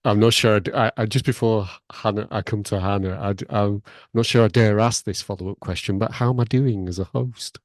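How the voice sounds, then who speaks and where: A man from northern England, hosting a casual interview in a halting, self-deprecating way. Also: fairly low pitch, fairly even intonation, dry recording, studio quality. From Blackburn, northern England. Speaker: podcast host, academic consultant.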